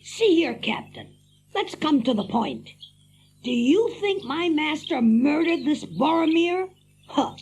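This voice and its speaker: A loyal, indignant halfling servant in a fantasy radio play. The voice is high-pitched and crackly, with blunt, folksy protest. There is faint noise behind it. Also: male voice, very animated, slow, creaky, a little mellow, heavily acted. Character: Sam, indignant, loyal rustic hobbit manservant. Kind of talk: radio fantasy drama acting.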